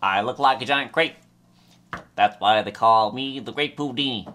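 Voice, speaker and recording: A man's voice: mid-range voice, normal speed, bright, forceful tone, slight background noise, lightly acted. A man playing a grandiose, haughty suspect who calls himself by a stage-magician title. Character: grandiose male suspect calling himself the Grape Houdini.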